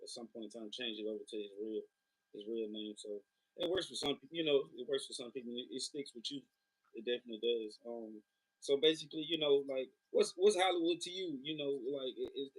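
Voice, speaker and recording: A man's voice: mid-range voice, husky. A man hosting an interview over a phone call, laid-back and slangy, with many fillers. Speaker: interview host.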